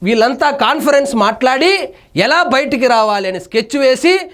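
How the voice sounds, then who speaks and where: Male news analyst, extremely high pitch, emphatic, indignant, opinionated commentary. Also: animated, fairly quick, a bit bright. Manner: indignant, emphatic, rhetorical questioning.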